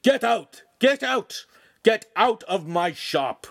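A man voicing a big, hot-tempered, tyrannical master in an audiobook, domineering and commanding with drawn-out emphasis. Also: high-pitched, expressive, fairly bright tone, no room sound, pitched above the performer's own voice, heavily acted. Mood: furious.

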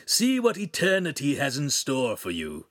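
A man's voice: fairly high pitch, sing-song, measured pace, dry recording, voice pushed up. A man voicing a small holographic imp in an audiobook, mocking and pompous, declaiming in a florid, grandiose way. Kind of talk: audiobook character voice.

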